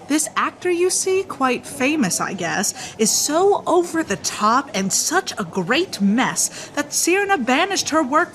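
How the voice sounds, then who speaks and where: A woman's voice: on the high side, fairly bright tone. An actress playing a gossipy, dramatic, loyal theatre-going woman in a radio play, declaiming rhymed verse rhythmically.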